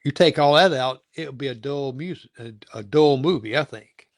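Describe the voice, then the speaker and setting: A man's voice: mid-range voice, normal speed, no room sound. Rambling folksy man hosting, dry close sound. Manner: rambling, folksy, repeats himself. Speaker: talk show host and interviewer. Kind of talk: casual interview chat.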